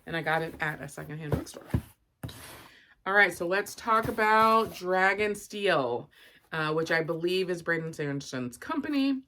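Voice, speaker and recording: A woman's voice: fairly low pitch, lively intonation, normal speed, bright, forceful tone, a little hiss or hum. A woman giving an informal, rambling book review and unboxing, thinking aloud with frequent asides.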